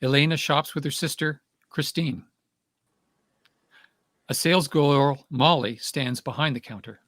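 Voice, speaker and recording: Dry-sounding stage directions narrator, middle pitch. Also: measured pace, a bit bright, dry recording. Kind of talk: scripted narration.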